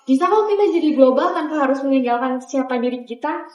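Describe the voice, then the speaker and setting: A young woman playing a female student who pushes back softly and reasons in a conversational way. Her voice is high and quick. The sound is roomy, with music underneath. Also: expressive, lo-fi sound. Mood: reasoning. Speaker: student in a class skit.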